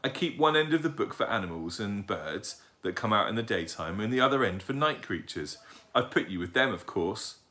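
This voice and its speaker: Gentle, polite young lady, acted by a man. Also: on the low side, expressive, fairly quick. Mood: friendly, explaining. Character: kind, gentle, sweet young lady nature sketcher.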